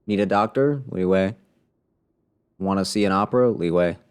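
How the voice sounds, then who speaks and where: A man's voice: sits low, normal speed, clean tone. A man livestreaming a game, giving casual commentary full of wry, joking asides.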